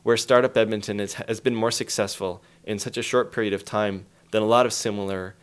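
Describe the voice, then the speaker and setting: A man's voice: on the low side, fairly even intonation, fairly quick, clear, resonant tone. A man speaking as an interview guest, explaining things in an emphatic, storytelling way with acted-out quotes.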